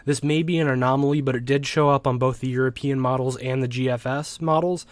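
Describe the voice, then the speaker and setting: A man's voice: medium pitch, steady tone, dry recording. American man giving informal weather commentary, dry sound. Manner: halting, explanatory, with word repetitions. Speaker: weather forecast host.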